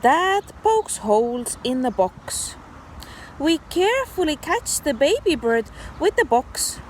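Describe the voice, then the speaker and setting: A woman teacher reading a story aloud to young children in an animated, deliberate, child-directed way. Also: sits high, slight background noise. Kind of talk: expressive children's read-aloud.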